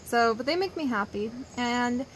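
A young woman with a somewhat high voice chats to her viewers in a loose, gushing way, rambling from thought to thought. She sits out on a wooden deck among trees, the camera held close to her. Faint outdoor noise hangs in the background. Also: animated, normal speed, low-quality recording. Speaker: vlogger. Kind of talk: casual chatty vlog. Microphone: phone or camera held close.

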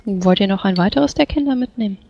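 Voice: medium pitch, quick pace, gravelly, a little mellow, breathy edge. A tabletop roleplaying player improvising in casual, polite chat on a lo-fi voice channel.

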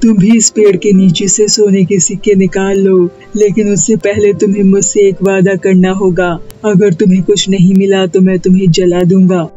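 A man's voice: high voice, level tone, normal speed, few pauses, warm tone, highs cut off, soft background music. A man voicing a menacing haunted talking tree in a cartoon moral tale, threatening and declarative.

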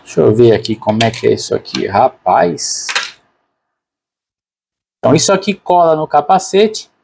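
A man's voice: mid-range voice, lively intonation, normal speed, creaky, noisy background. A man with a Brazilian Portuguese accent narrating a product unboxing casually and unscripted, informally thinking aloud as a reviewer.

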